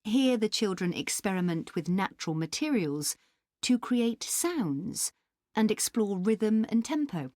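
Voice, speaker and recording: Slow, lively, helpful park guide woman. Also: mid-range voice, dry recording, clean studio sound. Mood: helpful, informative. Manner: clear explaining, helpful, deliberate. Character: helpful, informative female country park staff member. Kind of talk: scripted listening-test dialogue.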